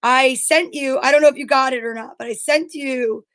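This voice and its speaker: A woman's voice: high-pitched, normal speed, fairly bright tone, no echo. A woman co-hosting an online show, chatting casually and reading vintage radio advertising copy. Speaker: co-host reading old radio show scripts.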